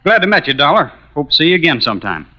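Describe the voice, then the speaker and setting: Awkward boyfriend on old radio show, american, halting, swingy pitch, muffled sound. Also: male voice, big pitch swings, music in the background. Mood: uneasy, friendly. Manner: casual, halting. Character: awkward, hesitant boyfriend covering with forced friendliness. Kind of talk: 1950s radio drama acting.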